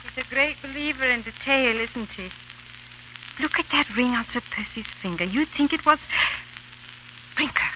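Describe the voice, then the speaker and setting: An actress playing a proud, impassioned young wife of an English lord in 1930s radio drama, pleading and declaiming. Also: female voice, high-pitched, moderate pace, close to an everyday voice. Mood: curious, urgent. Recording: dull, hissy, crackling 1930s radio recording.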